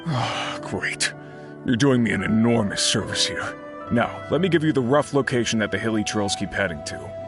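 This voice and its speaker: An actor playing an earnest, worried miner in a video game, explaining things conversationally. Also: male voice, lowish voice, lively intonation, normal speed, natural voice. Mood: hopeful, persuasive. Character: worried, earnest male miner giving out a commission. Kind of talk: video game dialogue acting. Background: background music.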